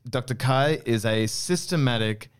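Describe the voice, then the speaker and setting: A man's voice: mid-range voice, measured pace, clean tone. A man hosting a podcast interview, introducing the guest with light jokes. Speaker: podcast host.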